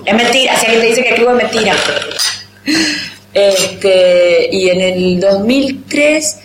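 A woman's voice: mid-range voice, a little slow. An Argentine woman writer in her thirties, chatting casually and self-mockingly in an interview, often laughing at herself. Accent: Argentine Spanish, rioplatense. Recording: roomy echo with background noise.

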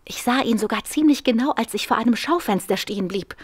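A woman's voice: fairly high pitch, quick pace, breathy edge. An actress playing a nervous, anxious woman seeking help in a radio drama, speaking hesitantly and earnestly.

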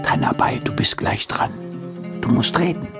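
An actor playing a cautious, commanding communist official with a gravelly voice in a radio play. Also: male voice, normal speed, over loud music, lightly acted. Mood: urgent, hushed.

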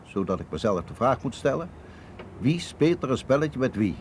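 A man's voice: mid-range voice, sing-song, normal speed. Male colonel for radio drama: wary, authoritative, formal, widely varied intonation, faint noise behind. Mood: suspicious, probing. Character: colonel, suspicious, commanding security chief. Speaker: actor in a radio play. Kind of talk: Dutch radio drama acting.

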